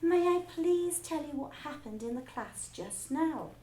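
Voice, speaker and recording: A woman voicing a gentle young schoolteacher who speaks softly and earnestly. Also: high-pitched, lively intonation, measured pace, roomy sound, pitched above the performer's own voice. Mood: pleading. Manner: soft, pleading and earnest.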